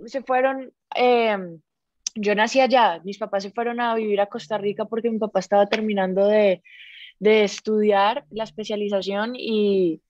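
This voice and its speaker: A young Colombian woman musician, a guest in an interview, who talks in a relaxed and upbeat way, filling her speech with "pues". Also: medium pitch, normal speed, lo-fi sound, slight background noise. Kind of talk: casual interview.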